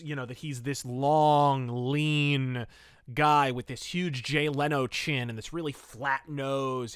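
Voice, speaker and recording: A man hosting a podcast, giving an opinionated, conversational review in a casual, animated way. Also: mid-range voice, smooth, no room sound, clean studio sound. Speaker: podcast host reviewing pulp fiction.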